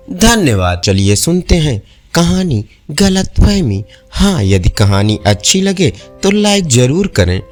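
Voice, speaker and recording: A man narrating a scripted village folk story, reading in an expressive, animated way. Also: on the high side.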